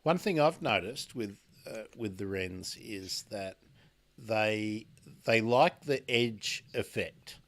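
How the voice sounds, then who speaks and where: An Australian man hosts a casual radio interview in a low, slow voice. He stops and restarts his sentences often. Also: lively intonation, a bit bright. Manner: slow, halting, lots of restarts and fillers. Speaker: radio show host.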